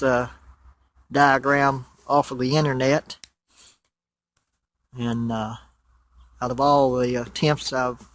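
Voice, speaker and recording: A plain-spoken man casually explaining a hobby setup in an unhurried way, outdoors behind a handheld camera. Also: medium pitch, fairly bright tone. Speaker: radio hobbyist. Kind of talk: casual home video walkthrough. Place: outdoors in a wooded yard by a house. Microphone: handheld camera, held by the speaker.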